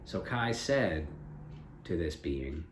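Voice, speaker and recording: Slow, low-voiced man telling a story. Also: very animated. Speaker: storyteller and co-host with a child. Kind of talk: improvised collaborative storytelling. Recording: echoey room, faint background noise.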